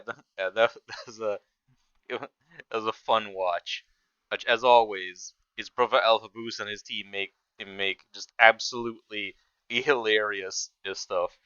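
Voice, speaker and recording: A man's voice: on the low side, bright, forceful tone, smooth, no room sound, highs cut off. A man giving casual, rambling, amused commentary as the host of a reaction video.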